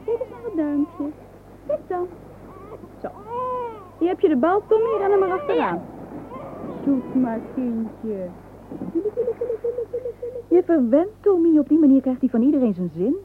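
A woman's voice: sits high, very animated, unhurried, long pauses. An actress playing a fussy, bossy young girl minding small children in a radio drama. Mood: coaxing. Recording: muffled phone-like old radio recording, hissy.

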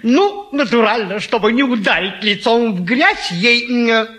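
A man's voice: very high voice, animated, unhurried, bright, forceful tone, exaggerated voice. An actor playing a boastful, gallant retired naval lieutenant who tells tall tales in a stage comedy, hemming and savoring as he goes. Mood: dreamy, relishing. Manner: hesitant hemming, savoring storyteller. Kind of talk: classic stage comedy acting.

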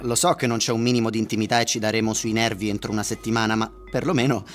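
An actor playing an excitable, wry friend and flatmate in an Italian radio drama. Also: male voice, on the low side, racing, faint music behind, close to an everyday voice. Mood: matter-of-fact. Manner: animated, wry.

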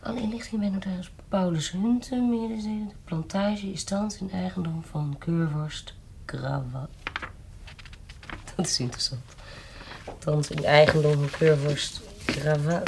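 A low-voiced, commanding baroness. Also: female voice, fairly even intonation, unhurried, vocal fry, background noise. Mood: quietly curious. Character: resolute, scheming self-styled baroness, protective aunt. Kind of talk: Dutch period audio drama acting.

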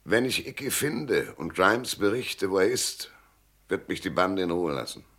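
A man's voice: low-pitched, sing-song, unhurried, a bit bright, exaggerated voice. An actor playing a stubborn, cool-headed male private detective in a German radio drama, laconic and hardboiled. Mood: resolute, cool.

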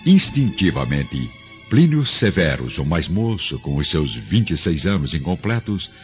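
A man's voice: a bass voice, sing-song, measured pace. A man with a Brazilian accent narrating a radio drama from a script, solemn, literary and theatrical. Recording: dull lo-fi radio production, music underneath.

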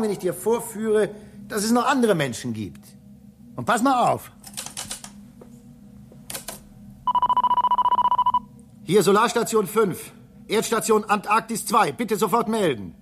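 A man's voice: high-pitched, normal speed, slight background noise. An actor in a radio drama playing an impatient, sarcastic human crewman on a space station. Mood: challenging. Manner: impatient, mocking, excitable. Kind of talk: German radio drama acting.

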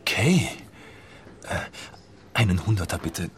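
Hapless low-voiced young detective. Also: male voice, sing-song, unhurried. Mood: nervous, eager. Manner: hesitant, naive. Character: hapless, naive, broke young male detective. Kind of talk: radio play acting.

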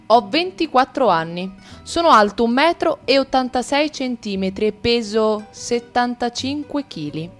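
A woman narrating a radio play in a steady, measured first-person reading. Her voice sits at a middle pitch, with faint music behind her.

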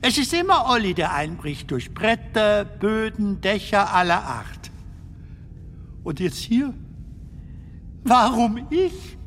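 An actor playing a whimsical film comedian stranded in darkness in a German radio drama, musing and storytelling in a halting way. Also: male voice, high voice, big pitch swings, a bit bright, slight background noise, exaggerated voice. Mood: wry, coaxing. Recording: music behind the voice.

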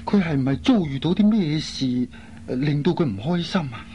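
A man's voice: a little high, lively intonation, moderate pace, warm tone, lightly acted. An actor playing an earnest, concerned airline crewman in a radio drama. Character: earnest, concerned man, a flight crew colleague. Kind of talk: Cantonese radio drama acting. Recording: dull lo-fi recording, faint noise.

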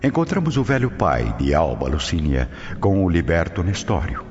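A man's voice: deep voice, huge pitch swings, normal speed. A man with a Brazilian accent acting as the solemn narrator of an ancient Roman saga on a radio drama. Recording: dull sound, long reverb, loud music behind.

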